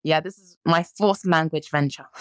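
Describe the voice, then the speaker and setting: Guest voice: 19-year-old woman from France, native French, lowish pitch, dry close sound, chatty with frequent ums and laughs. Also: unhurried, no room sound. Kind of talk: casual interview chat.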